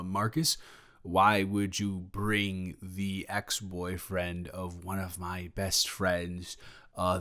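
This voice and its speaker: A man acts out a young male roommate in an intimate audio roleplay. His voice sits a bit low and moves very slowly. He is sheepish and evasive, rambling and restarting as he skirts around what he has to confess. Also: a bit bright. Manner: halting, rambling, trailing off into restarts.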